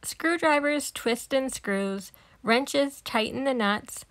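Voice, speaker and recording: A woman reading a picture book aloud to young children, animated and emphatic in her storytelling. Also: sits high, slow pace, no room sound. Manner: animated, emphatic on sound-effect words. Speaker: storybook reader. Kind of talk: expressive read-aloud narration for young children.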